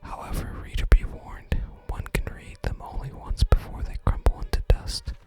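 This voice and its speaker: A person whispering in a soft, breathy, unhurried ASMR style while narrating a video game and reading its text aloud. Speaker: ASMR gaming video host.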